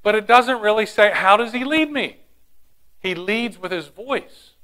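A high-pitched man preaches with a bright, forceful tone. He tells stories and asks the congregation questions as he teaches. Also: animated, measured pace. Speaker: pastor. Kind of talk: sermon.

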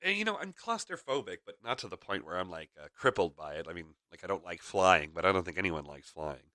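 A man with a low, forceful voice carrying a slight rasp. He rambles casually through his chat, full of "uh"s and small chuckles. The sound is close and dry. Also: sing-song, fast talker, dry recording. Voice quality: raspy. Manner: rambling, frequent fillers, chuckles. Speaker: podcast host.

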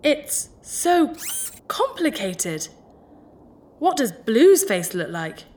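A woman's voice: on the high side, sing-song, extremely slow, darkish tone, slight background noise, heavily acted. A woman playing a childlike, eager, proud ship's computer in a sci-fi audio drama, speaking literally and in the third person. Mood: wide-eyed wonder.

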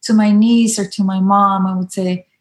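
A woman's voice: medium pitch, steady tone, moderate pace. A woman in her thirties from Switzerland with a Swiss German accent, explaining ideas in conversation and sometimes searching for words.

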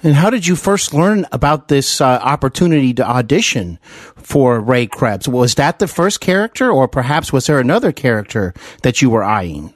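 Male podcast host, mid-pitched, dry sound, attentive, deliberate, agreeable interviewing. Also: sing-song, dry recording. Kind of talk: conversational interviewing.

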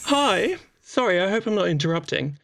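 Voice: mid-range voice, sing-song, normal speed, vocal fry, fairly bright tone. An actor of androgynous voice playing a sociable, playful doctor in a chatty audio drama, exuberant, informal and teasing. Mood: cheerful. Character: breezy, sociable, playful doctor at a space clinic.

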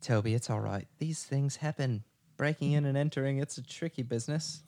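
A man voicing a smooth, menacing adult male crime boss in a read-through comedy skit, speaking with mock politeness. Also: medium pitch, brisk, very noisy, lightly acted. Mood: soothing.

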